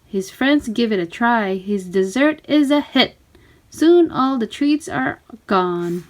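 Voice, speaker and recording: A woman reading a children's storybook aloud in simple, deliberate phrases. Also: fairly high pitch, lively intonation.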